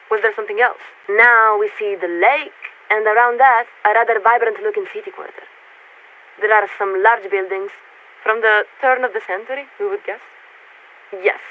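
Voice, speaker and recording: A woman playing a polite, detached warship officer in a video game, speaking formally and with measured hesitation through a radio filter. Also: mid-range voice. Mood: musing, courteous. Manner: formal, measured, hesitant when searching for words. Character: polite, detached female warship crew officer surveying a city. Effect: military radio transmission filter. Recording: tinny narrowband radio with hiss.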